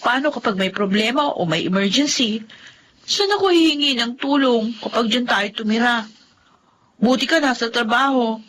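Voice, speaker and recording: An actress playing a defiant, indignant wife of thirty in a Filipino radio drama. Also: female voice, on the high side, expressive, normal speed, a bit bright, slight background noise, poor audio. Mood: complaining, insistent. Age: 30s. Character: defiant, indignant thirty-year-old wife attached to her mother.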